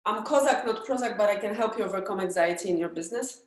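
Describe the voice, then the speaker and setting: A woman's voice: medium pitch, quick pace, distant mic. A woman with a Polish accent, speaking in an earnest, hesitant way and often searching for the right words. Her voice echoes heavily around a big room. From Poland. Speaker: workshop participant, entrepreneur coach.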